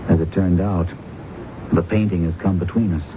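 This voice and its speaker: A man acts as a secretive, lovelorn figure in an old radio play. His voice is low and measured as he confides. The broadcast sounds muffled, with clear noise and rumble. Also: steady tone, close to an everyday voice. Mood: earnest. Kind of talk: 1970s radio drama acting.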